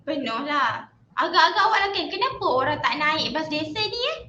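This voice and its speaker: A woman's voice: sits high, sing-song, moderate pace, sharp tone. A woman hosting a podcast interview, chatty and friendly, repeating "okay, alright" between questions. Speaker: podcast host interviewing a guest. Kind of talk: casual chat interview. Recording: roomy dull lo-fi sound with faint noise.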